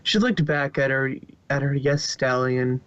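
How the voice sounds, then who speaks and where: A man's voice: mid-range voice, unhurried, a bit bright, smooth, no echo, lo-fi sound. A man narrating fan fiction as an amateur reader, in a storytelling style.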